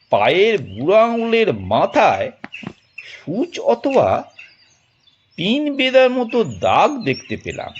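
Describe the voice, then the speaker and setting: A man narrating a detective audio drama in an expressive, dramatic storytelling manner with deliberate pauses. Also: on the high side, highs cut off, slight background noise.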